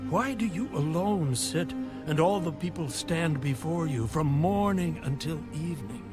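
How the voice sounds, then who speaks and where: An earnest elder priest in scripture radio drama, higher-pitched, unhurried and solemn, over music. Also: male voice, expressive. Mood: earnest counsel. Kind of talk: audio Bible drama acting.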